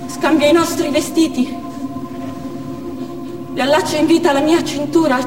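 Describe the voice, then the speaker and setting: A woman's voice: sits high, steady tone, normal speed, lightly acted. An actress playing a desperate, defiant female Chechen militant in a declamatory theatrical monologue. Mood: anguished, urgent. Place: theatre stage, picked up from afar. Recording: distant echoey theatre hall with music.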